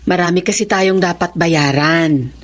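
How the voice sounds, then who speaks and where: A woman's voice: fairly low pitch, measured pace, natural voice. A woman playing a harried, evasive mother and nagging partner in a radio drama. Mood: nagging, worried. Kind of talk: Tagalog radio drama acting.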